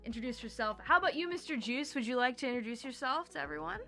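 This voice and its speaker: A woman's voice: high voice, expressive, fast talker, gravelly, sharp tone. A woman hosting a casual music chat stream, bubbly and chatty with frequent laughter. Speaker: host.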